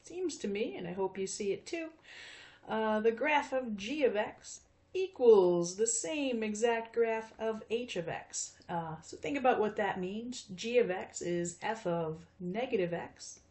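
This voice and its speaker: A woman's voice: mid-range voice, animated, a little slow, darkish tone. A woman in her fifties teaching math in a recorded video lesson, chatty and friendly, with frequent fillers. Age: 50s.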